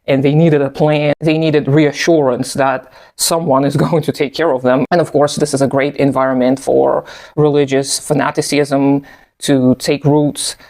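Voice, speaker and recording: A man in his thirties whose first language is Russian, giving thoughtful, measured personal commentary in English with an occasional soft laugh. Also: medium pitch, little pitch movement. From post-Soviet country. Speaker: video essayist.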